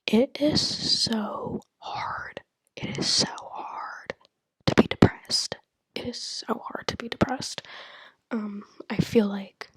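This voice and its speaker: Breathy whispering young woman, close and dry. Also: medium pitch, dry recording, poor audio. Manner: candid, rambling, lots of "like" and "you know". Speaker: vlogger. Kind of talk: whispered casual monologue.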